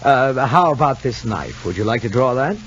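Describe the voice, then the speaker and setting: On a muffled old radio soap recording with faint noise, a male actor plays a kindly doctor speaking gently. His mid-range voice rises and falls freely as he patiently encourages. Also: medium pitch, lively intonation, normal speed, lightly acted. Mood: coaxing, patient. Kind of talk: 1950 radio soap opera acting.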